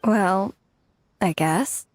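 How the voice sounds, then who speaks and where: A woman's voice: medium pitch, extremely slow, dry recording. A woman playing a wistful, self-doubting young working woman in an anime game, hesitant in manner. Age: young adult. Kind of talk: anime video game voice acting. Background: faint background music.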